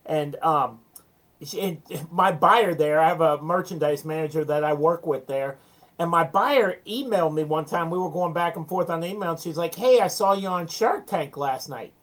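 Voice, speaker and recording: A man's voice: a little high, moderate pace, a bit bright. Chatty American man telling business anecdotes. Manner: chatty, anecdotal, self-deprecating. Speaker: interview guest, small business owner. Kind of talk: casual interview storytelling.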